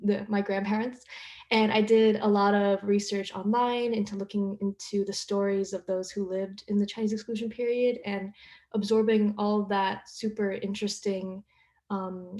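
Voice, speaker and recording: A woman's voice: mid-range voice, little pitch movement, normal speed, dark tone. A young woman answering a question as a playwright on a panel. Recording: roomy, echoing audio.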